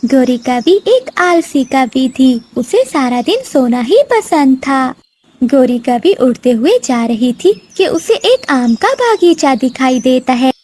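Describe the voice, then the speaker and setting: High, soft-toned woman storyteller. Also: very animated, takes it slowly. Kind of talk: scripted narration of a children's bird story.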